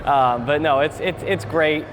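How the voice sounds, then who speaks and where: Young male broadcaster, slightly high voice. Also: measured pace, noisy background. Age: 20s. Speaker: sports broadcaster. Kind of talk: casual interview answers. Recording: music laid under interview. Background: music.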